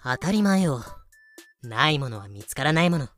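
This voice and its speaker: A woman's voice: extremely low pitch, sing-song, normal speed. A woman acts a knowing, plain-spoken female character in an amateur audio drama. She explains in a dry, matter-of-fact way, with faint music behind her. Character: frank, knowing woman explaining history to a sidekick.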